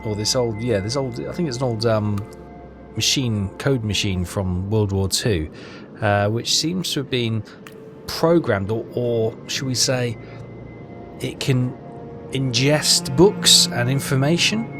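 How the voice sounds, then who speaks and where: A man's voice: mid-range voice, takes it slowly, over music. A man hosting a casual game walkthrough, hesitant and rambling as he thinks aloud. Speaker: gaming video host.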